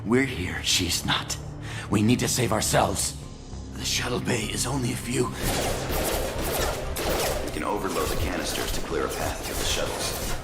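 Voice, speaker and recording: A man's voice: lowish voice, animated, normal speed, pauses often. An actor playing a cynical, self-preserving crewman in a sci-fi video game, with a blunt, sardonic manner. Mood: urgent, argumentative. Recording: music behind game dialogue.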